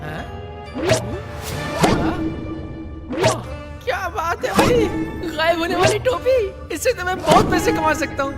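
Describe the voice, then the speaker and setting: Cartoon young male sidekick played by a woman: comic grumbler, high, lively pitch swings. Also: moderate pace, over loud music. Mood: excited. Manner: comic, complaining. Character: grumbling, cheeky young male treasure seeker. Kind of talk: animated cartoon dub acting.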